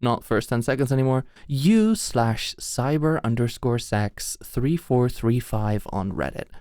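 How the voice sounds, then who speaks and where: A man's voice: mid-range voice, expressive, unhurried, a little mellow, no echo, clean studio sound. A man giving a casual spoken introduction as host of an audio channel.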